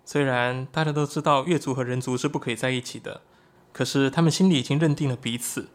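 An actor playing an earnest, excitable young shapeshifting tribesman in a Chinese audio drama, explaining things expressively. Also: mid-range voice, quick pace, close to an everyday voice. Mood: solemn storytelling.

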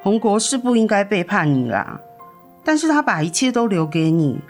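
Woman as a lively, protective big sister. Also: medium pitch, normal speed, natural voice. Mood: frank, consoling. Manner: blunt, comforting. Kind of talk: radio drama acting. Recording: music under the voice.

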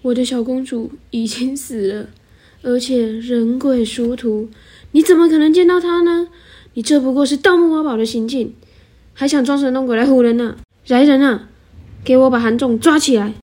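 A woman's voice: sits high, fairly even intonation, moderate pace, mellow. A young woman playing a stern, grieving old king and father in an amateur radio drama. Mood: angry. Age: young adult. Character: angry grieving older king, a suspicious father. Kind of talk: student radio drama acting.

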